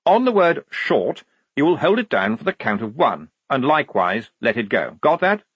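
A man's voice: mid-range voice, sing-song, moderate pace, a bit bright, husky, no room sound, lo-fi sound, voice pushed up. A man voicing a prim, pompous lieutenant in an audiobook, proud of his own cleverness, with pedantic, clipped, officious delivery. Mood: brisk, officious.

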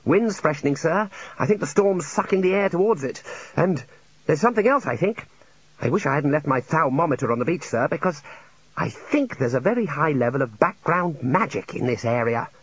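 A man's voice: fairly high pitch, animated, normal speed, breathy edge, dry recording, muffled recording. A man voicing an anxious, exasperated young student wizard in an audiobook, with an earnest, strained, insistent manner. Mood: worried, earnest.

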